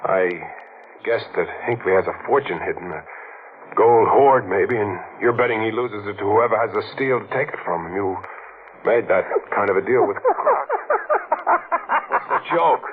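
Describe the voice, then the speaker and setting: An actor playing a hard-boiled, greedy adventurer and killer in a melodramatic 1940s radio drama, with an American accent. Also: male voice, on the low side, moderate pace, close to an everyday voice. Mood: wary, defiant. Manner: hard-bitten, slangy, melodramatic. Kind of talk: 1940s radio drama acting, first-person narration and dialogue. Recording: scratchy, noisy, narrow-band 1940s radio recording.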